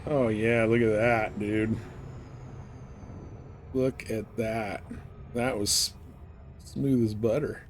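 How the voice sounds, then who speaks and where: A man's voice: lowish voice, steady tone, very slow, long pauses, soft background music. A man giving casual, slangy live commentary while he plays a game. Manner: informal slangy talk. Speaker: live streamer playing a space flight game.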